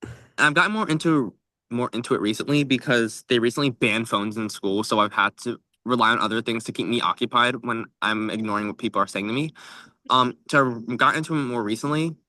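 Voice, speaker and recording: A young man with a bright, forward voice at a middling pitch co-hosts a podcast. He talks casually and jokingly, rambling with plenty of fillers. The recording is dry. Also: fairly quick, no echo. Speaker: podcast co-host. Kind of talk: casual chat.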